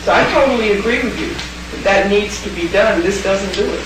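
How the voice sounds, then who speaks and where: A woman's voice: mid-range voice, fairly quick. A woman lecturer answering audience questions in a thoughtful, emphatic way and restarting phrases as she reframes them. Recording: very echoey hall, distant mic, noisy.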